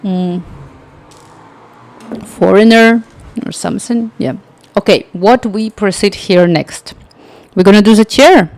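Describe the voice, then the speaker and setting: A woman's voice: medium pitch, darkish tone. Chatty woman full of "like" fillers. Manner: chatty, many "like" fillers, halting phrasing. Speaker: livestream tutorial host. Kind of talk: casual storytelling chat.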